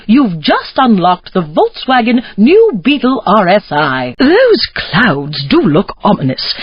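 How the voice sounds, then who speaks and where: An actress playing a wry, teasing British radio announcer in a video game, gleeful about mayhem. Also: female voice, mid-range voice, breathy edge, no room sound, lo-fi sound.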